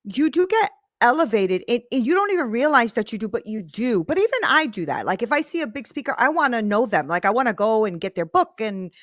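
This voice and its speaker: A woman's voice: on the high side, big pitch swings, brisk, hardly any pauses, a bit bright, no echo, studio quality. Chatty, emphatic woman podcast host. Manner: chatty, emphatic, uses lots of "like" and "right?". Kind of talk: podcast interview.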